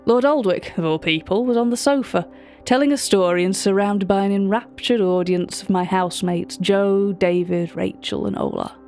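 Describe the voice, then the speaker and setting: An actress playing a chatty, wry British gallery writer, telling a story directly to a listener in audio drama narration. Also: female voice, mid-range voice, moderate pace, a little mellow, soft background music, close to an everyday voice.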